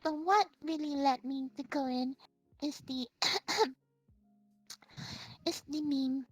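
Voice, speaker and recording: A streamer guest with a Singaporean English accent, chatting excitedly and giggling through rambling stories in a casual interview. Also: high-pitched, takes it slowly, dry recording, faint music behind.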